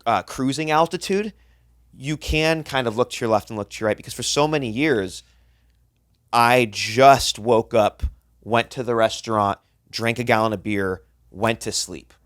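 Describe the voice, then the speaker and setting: A man's voice: medium pitch, moderate pace, fairly bright tone, no echo, clean studio sound. A man in his thirties, a podcast co-host and chef, talking bluntly and profanely in a rambling casual chat. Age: 30s.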